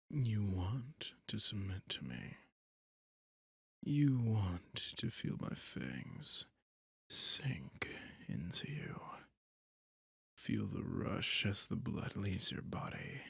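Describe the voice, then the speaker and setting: A possessive male vampire, voiced by a man, breathes slow, commanding threats in a low, gravelly whisper. The voice is husky and close, with a dry, intimate sound for an ASMR roleplay. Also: no echo, heavily acted.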